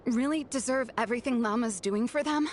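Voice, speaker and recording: An actress playing a guarded, conflicted young female scout in a video game, brusque and at times halting. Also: fairly high pitch, slight background noise, lightly acted. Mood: troubled, hesitant.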